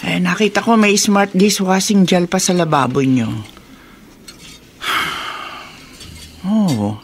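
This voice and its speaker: A woman's voice: fairly low pitch, takes it slowly, slight background noise. An older woman playing a caring, protective elderly Filipino grandmother in a radio drama. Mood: concerned, tender.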